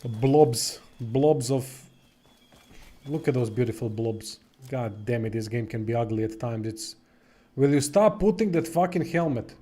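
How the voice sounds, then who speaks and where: Croatian-accented streamer in his thirties, dark relaxed tone, loose swearing banter over gameplay. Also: mid-range voice, a little slow. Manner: blunt, swears freely, rambling. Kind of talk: casual gameplay chat.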